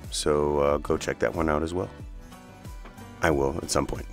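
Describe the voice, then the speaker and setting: A man's voice: a bass voice, fairly even intonation, moderate pace, creaky, faint music behind. A middle-aged man giving casual, unscripted reactions as a stream host, blunt, sweary and incredulous.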